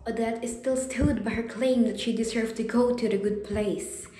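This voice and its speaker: A woman acting as the calm narrator of a scripted radio drama. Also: fairly high pitch, normal speed, lightly acted. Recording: echoey recording.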